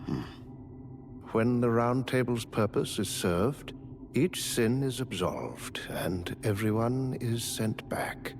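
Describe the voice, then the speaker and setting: An actor playing a genteel, devoted manservant in a fantasy game, with formal, courtly, theatrical phrasing. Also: male voice, mid-range voice, slow pace, slight background noise. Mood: solemn devotion. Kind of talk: video game character dialogue.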